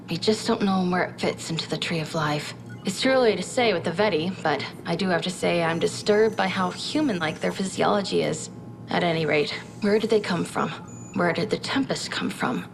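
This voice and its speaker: An earnest female science advisor played by an actress in a video game briefing, her voice a little low. She talks candidly and thoughtfully, with reflective asides, over clear rumbling background noise. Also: natural voice. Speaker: military science officer briefing a commander.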